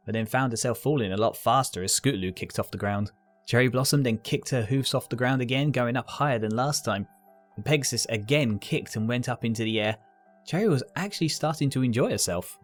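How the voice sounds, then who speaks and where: Lower-pitched man narrating, music behind. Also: brisk. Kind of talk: scripted story narration.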